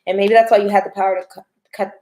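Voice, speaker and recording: A young woman hosting a live stream, chatting casually and reading tarot cards, her voice going hoarse. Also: medium pitch, flat delivery, rapid-fire, dark tone. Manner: chatty, laughs often, swears casually.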